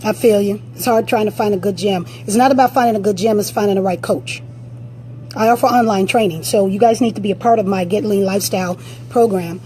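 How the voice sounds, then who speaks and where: A woman fitness coach with a raspy voice, giving blunt, direct motivational advice during a live-stream Q&A on a phone. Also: medium pitch, a little fast, dark tone, slight background noise.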